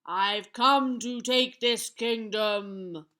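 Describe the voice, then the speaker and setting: A woman voicing a wicked, boastful giant man in a children's storybook, booming, slow and taunting. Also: bright, forceful tone, clear, resonant tone, exaggerated voice. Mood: menacing boast.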